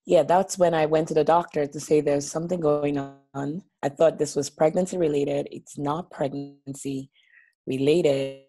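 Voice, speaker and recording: Low, slow, mellow-voiced woman guest. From Nigeria. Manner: open, chatty storytelling with small laughs. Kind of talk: casual video-call interview. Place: home living room. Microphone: laptop webcam on a video call.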